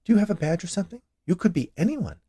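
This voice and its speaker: A man's voice: high voice, big pitch swings, fast, husky, no echo, voice pushed up, exaggerated voice. A man voicing a cheerful, chatty large woman who runs a candy shop in a storybook tale. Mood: skeptical. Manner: bright, chatty, eager.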